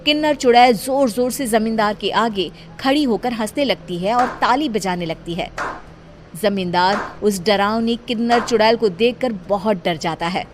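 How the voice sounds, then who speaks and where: A woman's voice: medium pitch, normal speed, slight background noise. A woman reading scripted story narration as the narrator.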